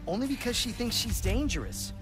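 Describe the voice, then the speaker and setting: A man's voice: very high-pitched, big pitch swings, fairly quick. An actor playing an earnest, loyal young male hero in a space adventure game, speaking with urgency. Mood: worried, pleading. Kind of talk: animated video game acting. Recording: music playing behind.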